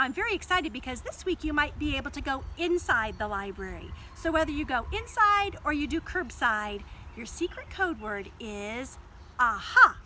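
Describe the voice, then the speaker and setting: A woman reading aloud as a children's librarian at story hour, expressive and speaking straight to young listeners with dramatic pauses. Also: high voice, a little hiss or hum.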